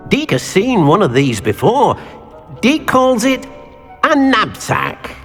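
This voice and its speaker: A man's voice: wildly swooping pitch, slow pace, fairly bright tone, heavily acted. An actor voicing a small, timid male house-elf servant in a video game, who talks about himself by name in an eager, fussy way. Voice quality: husky, high squeaky. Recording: game audio with faint music.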